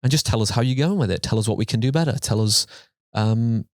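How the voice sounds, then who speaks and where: A man's voice: mid-range voice, fast talker, creaky, no echo, clean studio sound. A young man from England co-hosting a casual podcast, with a mixed English accent drifting toward Australian, rambling, swearing freely and mocking himself. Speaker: podcast co-host. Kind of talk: casual chat.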